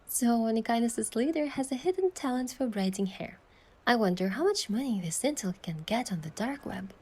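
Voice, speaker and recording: A woman voicing a playful, tender young heroine who narrates her own romance in a game with soft, lyrical storytelling. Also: fairly high pitch, animated, moderate pace, husky. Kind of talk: scripted first-person narration and dialogue in romance game. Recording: music behind the voice.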